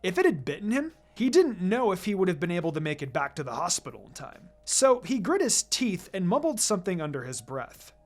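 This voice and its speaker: A narrator reading fan fiction aloud in an expressive storytelling style. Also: medium pitch, fairly quick, few pauses.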